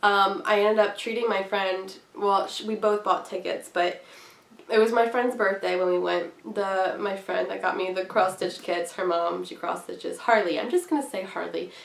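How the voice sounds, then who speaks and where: A young woman in her twenties with a voice hoarse from a cold, chatting casually and rambling to camera with many ums. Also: mid-range voice, fairly even intonation, normal speed. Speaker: craft vlogger. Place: small room indoors at home. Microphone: camera at a distance. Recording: roomy, from a distance, faint hiss.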